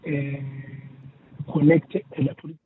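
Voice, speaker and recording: A man speaks haltingly as a spokesperson in a live interview over a poor phone line. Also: on the high side, little pitch movement, slightly rough, no room sound. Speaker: political party spokesperson on transport. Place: phone call.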